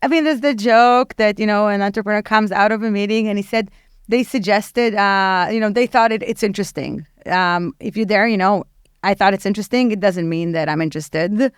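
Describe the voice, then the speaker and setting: Woman, nonprofit head, candid and chatty, smooth clear tone, dry close mic. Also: mid-range voice, fairly quick, dry recording. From Israel. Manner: candid, frank and animated, with many fillers. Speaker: podcast guest, nonprofit executive director. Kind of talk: casual interview talk.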